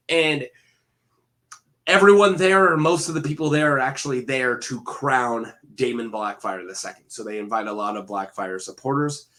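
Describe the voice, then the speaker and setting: Rambling man with a bright voice. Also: mid-range voice. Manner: rambling, self-correcting, filler-heavy. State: head cold, sniffling. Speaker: video host. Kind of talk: casual solo commentary.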